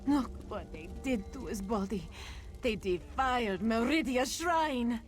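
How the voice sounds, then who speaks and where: Earnest devout follower, distressed, raised pitch, measured pace, fantasy game, prominent music behind. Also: female voice, lively intonation. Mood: grieving, appalled. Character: distressed, devout female worshipper of a goddess. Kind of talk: fantasy video game voice acting.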